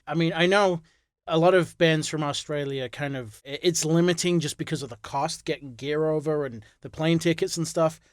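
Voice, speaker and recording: A man's voice: a little high, normal speed, clear, resonant tone, no room sound, studio quality. A man hosting a podcast, asking questions in a casual, conversational style. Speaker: podcast host, interviewer. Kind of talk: casual chat.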